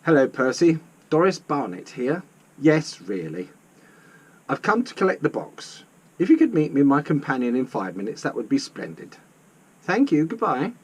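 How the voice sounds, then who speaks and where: A man's voice: a little high, huge pitch swings, normal speed, a little mellow, slightly rough, heavily acted. A man voicing a gracious, commanding older lady with a very posh upper-class British accent in a storybook. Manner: very posh, genteel.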